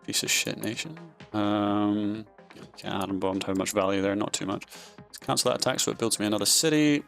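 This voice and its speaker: A man's voice: lowish voice, steady tone, brisk, creaky, a bit bright, faint music behind. A man commentating his own strategy game in a casual style, thinking aloud with frequent ums and restarts and sudden surprised outbursts. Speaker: gaming commentator.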